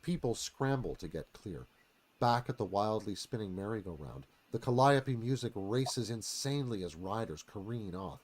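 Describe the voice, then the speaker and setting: Animated male screenplay narrator. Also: on the low side, normal speed. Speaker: narrator reading stage directions. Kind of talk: dramatic scripted narration.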